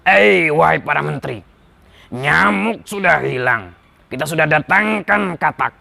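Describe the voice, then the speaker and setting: A man's voice: on the high side, animated, unhurried, gravelly, sharp tone, exaggerated voice. A man voicing a foolish, vain and bossy king in a children's tale, with a pompous, groaning manner. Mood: exasperated, weary. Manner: pompous, groaning, given to hemming.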